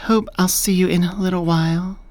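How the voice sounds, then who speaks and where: Low-voiced, flirty, confident elf woman, acted by a woman. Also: fairly even intonation, a little fast, a little mellow, a little hiss or hum, close to an everyday voice. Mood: smitten, gushing. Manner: teasing, doting, self-assured. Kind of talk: soft-spoken ASMR romance roleplay. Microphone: close binaural studio microphone.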